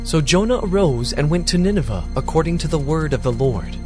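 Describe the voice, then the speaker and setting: A man's voice: on the high side, animated, fairly quick, over music. A man narrating scripture from a script in a dramatic storytelling style.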